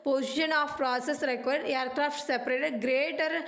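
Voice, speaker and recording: Female lecturer, high pitch, clipped and halting read of study notes, roomy echo. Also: fairly even intonation, fairly bright tone. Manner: halting, clipped reading with dropped words. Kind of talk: scripted educational narration.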